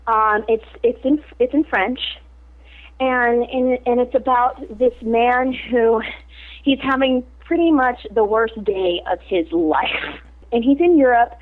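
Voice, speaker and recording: A woman actress in a casual interview, talking animatedly and laughing often. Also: on the high side. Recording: tinny phone-like line.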